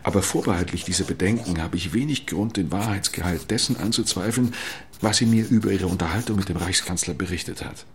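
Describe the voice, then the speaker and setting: An actor playing a courteous, sceptical British diplomat in an audio docudrama, speaking formally and probing politely. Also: male voice, low voice, fairly quick, close to an everyday voice. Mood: formal, measured. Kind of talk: dramatized audiobook documentary.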